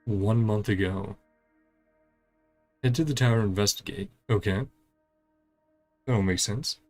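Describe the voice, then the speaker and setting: A man with a low voice and a gravelly, fry-heavy edge hosts a casual game playthrough. He talks in short, clipped fragments, murmuring reactions as he goes. Faint music plays behind him. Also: a little slow. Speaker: gaming channel host. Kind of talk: casual game playthrough commentary, reading dialogue along.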